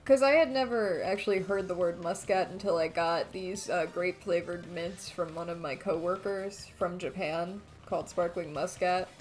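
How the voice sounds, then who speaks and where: A woman's voice: fairly low pitch, fairly even intonation, moderate pace, hardly any pauses, low-quality recording. Casual woman chatting over game music. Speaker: let's play streamer. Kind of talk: casual gaming commentary.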